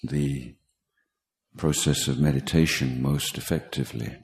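A man teaching guided meditation, speaking in a halting, measured way with pauses between short phrases. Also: a bass voice, steady tone, vocal fry. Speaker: meditation teacher, Buddhist monk. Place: meditation retreat talk in Thailand.

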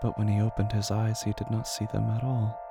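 Low, gravelly man narrating horror over music. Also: little pitch movement. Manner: solemn, poetic, deliberate phrasing. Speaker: narrator of a horror fiction podcast. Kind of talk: scripted literary horror narration. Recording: prominent music behind the voice.

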